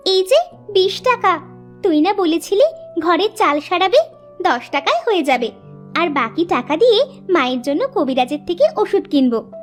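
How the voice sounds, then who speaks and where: A woman voicing a young, hardworking village girl in a cartoon moral story, earnest and sweet. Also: very high voice. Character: young village sisters, dutiful, cheerful, hardworking girls.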